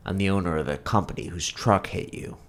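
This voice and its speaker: Man playing a calm rich businessman, persuasive, low gravelly voice. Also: a little fast. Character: wealthy calm businessman in expensive suit.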